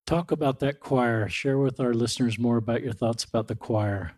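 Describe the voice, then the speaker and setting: A man's voice: medium pitch, normal speed, a little mellow. A man hosting a podcast interview who validates his guest's feelings and asks several questions in a row. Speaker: podcast host.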